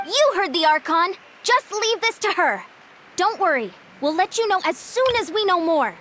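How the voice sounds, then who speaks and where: A woman voicing a brisk, commanding female guard in a video game cutscene. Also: very high voice, sing-song, pushed and bright, a little hiss or hum, heavily acted. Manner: brisk, bossy.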